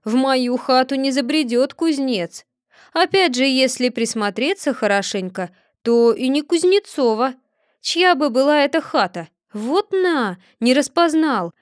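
A woman's voice: high voice, animated, unhurried, no room sound, clean studio sound, pitched above the performer's own voice. A woman voicing a sly, stubborn middle-aged Cossack man who mutters indignantly to himself. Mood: muttering.

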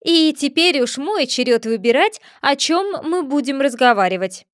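Lady doing a snooty egg guy from a storybook, bossy and loud, kinda high. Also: expressive, no echo, clean studio sound. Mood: triumphant, scolding. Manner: haughty, lecturing, quick to take offense. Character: pompous touchy male egg figure.

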